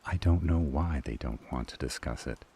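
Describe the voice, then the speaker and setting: Man acting a very deep, grave demon elder. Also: normal speed, mellow, husky, full character voice. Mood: firm, sombre. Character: powerful male demon elder, council member, weary and firm. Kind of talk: dramatic audio roleplay acting.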